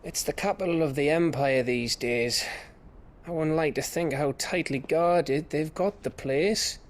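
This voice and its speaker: An actor playing a loyal, easygoing scout in a video game, down-to-earth and informal. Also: male voice, on the high side, measured pace, close to an everyday voice. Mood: wry. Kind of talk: video game cutscene acting.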